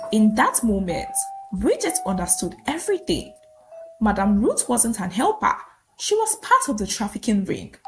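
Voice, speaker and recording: A woman's voice: a little high, a little hiss or hum, low-quality recording. A woman telling a dramatic folktale from a script, with a solemn, suspenseful delivery. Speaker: storyteller.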